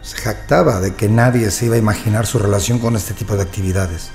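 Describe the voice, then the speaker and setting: A man's voice: fairly low pitch, fairly even intonation, quick pace, a little mellow, lightly acted. An actor in an audio drama playing a wronged male prisoner who recounts his ordeal as sober testimony. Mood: recollecting. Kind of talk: first-person audio drama narration. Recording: music bed behind the voice.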